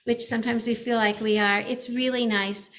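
Higher-voiced woman hosting a webinar. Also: little pitch movement, normal speed, slight background noise. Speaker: webinar host. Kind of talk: hosting an interview.

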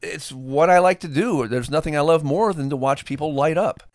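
A man's voice: fairly high pitch, moderate pace, dry recording, clean studio sound. A man co-hosting a podcast in a casual, conversational discussion style. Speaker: podcast co-host.

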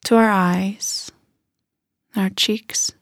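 A woman leading a guided body scan meditation in a gentle, unhurried manner with soft phrase endings. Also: medium pitch, fairly even intonation, creaky.

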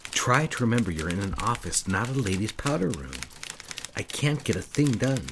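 An actor playing a stern, irritable office boss in a period drama, curt and scolding. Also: male voice, lowish voice, sing-song, moderate pace. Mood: stern, annoyed. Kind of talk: period radio drama acting. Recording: clear noise, typing and paper rustle.

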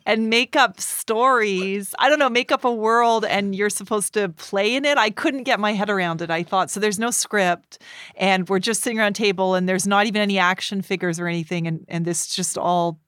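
A woman's voice: mid-range voice, big pitch swings, normal speed, a bit bright, smooth, dry recording. Woman casually telling stories, dry close sound. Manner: chuckles while telling stories. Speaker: interview guest and performer. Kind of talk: casual anecdotal chat.

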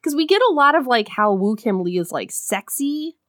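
A woman in a casual chat about a book, talking loosely with frequent laughs and sharp criticism. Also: on the high side, lively intonation, moderate pace, no room sound, studio quality. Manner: chatty, laughs often, critical. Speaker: podcast co-host.

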